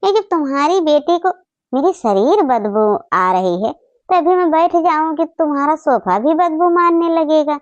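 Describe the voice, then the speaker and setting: A woman plays a cartoon aunt from the family next door, homely and quick to take offence. Her chatty voice is high and closely recorded without echo. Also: lively intonation, dull audio. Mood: offended. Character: friendly neighbouring aunt, easily offended.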